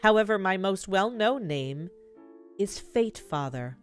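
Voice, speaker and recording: A woman voicing a mysterious old hooded man, a slow, solemn tempter from a fantasy game story. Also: medium pitch, lively intonation, soft background music, lightly acted.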